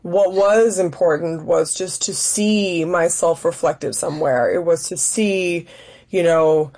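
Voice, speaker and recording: Woman, from Denmark, actress guest, low warm voice, thoughtful and measured. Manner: thoughtful, measured, searching for words. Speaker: actress and writer, interview guest. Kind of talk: interview answers.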